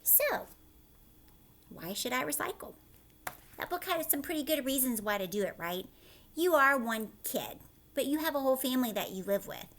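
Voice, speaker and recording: Higher-pitched woman teacher reading to children. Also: lively intonation, normal speed, long pauses, fairly bright tone, slight background noise. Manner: simple child-directed talk with frequent check-in questions. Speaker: teacher reading a picture book aloud to children. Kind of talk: children's read-aloud with chatty explanatory asides.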